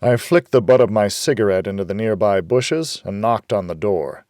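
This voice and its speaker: A man's voice: lowish voice, moderate pace, darkish tone, dry recording, clean studio sound. A man narrating a hardboiled noir audiobook in the first person, wry and world-weary.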